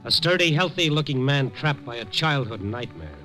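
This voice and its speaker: An actor playing a steady, wry newspaper reporter who narrates, in a 1950s radio drama. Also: male voice, medium pitch. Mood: reflective. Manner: calm, wry, measured. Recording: old radio broadcast with music.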